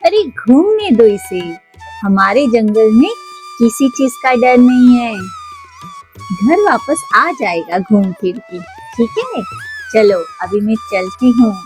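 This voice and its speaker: Lady playing a caring jungle lady doctor, slow and high, kids cartoon. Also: very animated, darkish tone, soft background music. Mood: kind, caring. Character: kind, caring woman doctor of jungle animals. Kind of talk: children's toy cartoon storytelling.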